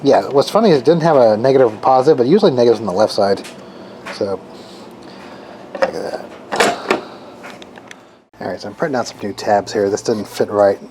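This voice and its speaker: A man's voice: lowish voice, fairly quick, pauses often, dark tone. A man narrating his hands-on project in a casual, unscripted way, rambling and thinking aloud informally. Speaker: DIY radio hobbyist presenting own project. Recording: clearly noisy background.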